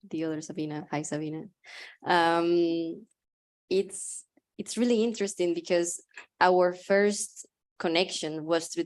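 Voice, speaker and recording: Flat-voiced quiet woman on a panel. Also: fairly low pitch. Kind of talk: panel discussion answer.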